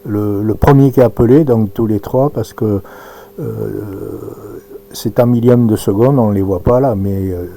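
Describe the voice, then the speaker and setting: A man giving an informal live demonstration as an amateur radio operator, halting and thinking aloud as he works. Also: on the low side, gravelly, mellow. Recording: noisy recording with audible hum.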